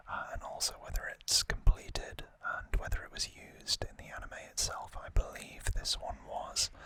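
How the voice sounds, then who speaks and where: A man whispering in a hushed, deliberate manner, as an ASMR host chatting through an unboxing. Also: low-quality recording.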